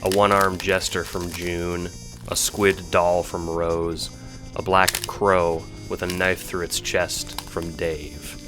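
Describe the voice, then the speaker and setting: A man's voice: low voice, level tone, takes it slowly, over music. A man reading scripted, dramatic second-person narration as the narrator of an audio drama.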